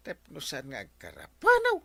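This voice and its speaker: A man's voice: on the high side, wildly swooping pitch, takes it slowly, gravelly, pushed and bright, full character voice. A man with an Ilocano accent playing a scheming, exasperated village captain in a radio comedy drama. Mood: plotting.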